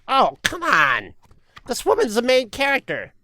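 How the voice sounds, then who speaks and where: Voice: mid-range voice, wildly swooping pitch, unhurried, bright, forceful tone, full character voice. An actor playing a fussy, self-conscious Cupid who gripes in an exasperated, muttering and blurting way. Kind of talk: comic backstage griping.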